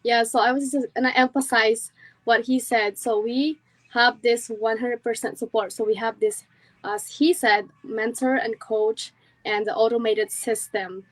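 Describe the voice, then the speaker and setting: A woman with a slightly higher voice than most speaks casually in a group talk. She leans on fillers such as "like" and "um" as she goes. Her recording sounds dry and close, with little room sound. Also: fairly even intonation, a little slow, no echo, low-quality recording. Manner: filler-heavy, uses "like" often. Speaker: co-host passing the turn.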